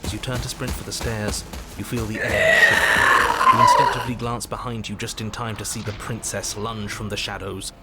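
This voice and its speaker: An actor playing a grave, dramatic storybook narrator in a video game, telling a tense scene in the second person. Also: male voice, on the low side, wildly swooping pitch, heavy background noise. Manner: theatrical, deliberate, dramatic. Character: grave male storybook narrator and urgent inner voices. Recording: music behind the voice.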